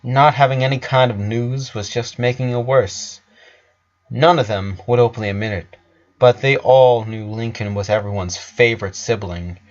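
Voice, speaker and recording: A man's voice: mid-range voice, fairly even intonation, normal speed. A man narrating a story in a dramatic reading style. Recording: dull lo-fi sound.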